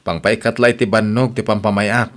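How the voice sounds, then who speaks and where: A man's voice: on the low side, fairly even intonation, normal speed, natural voice. A man playing a weary, defensive young husband in an audio drama. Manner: defensive, tired.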